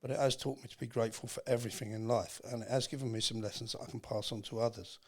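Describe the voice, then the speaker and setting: A man's voice: medium pitch, fairly even intonation, rapid-fire. English man telling a heartfelt personal story. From England. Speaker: podcast guest.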